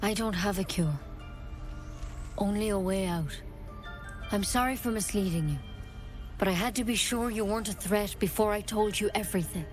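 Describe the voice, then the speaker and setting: Woman acting a frank, low-key druid healer. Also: normal speed, pauses often, natural voice. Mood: grave, frank. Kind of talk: video game voice acting. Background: background music.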